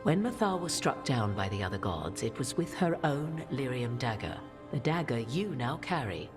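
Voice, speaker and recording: An ancient witch of a fantasy game, imperious and cryptic. The voice is low for a woman and swoops expressively through formal, archaic lines. Music plays clearly behind the studio-recorded speech. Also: very animated. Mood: solemn, portentous. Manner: formal, archaic, deliberate, theatrical phrasing. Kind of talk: dramatic fantasy game dialogue. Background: background music.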